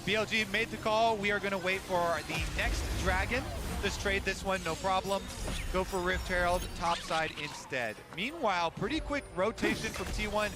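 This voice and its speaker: A man's voice: sits high, moderate pace, over music. A man giving live colour commentary on an esports match, explaining team decisions and plays.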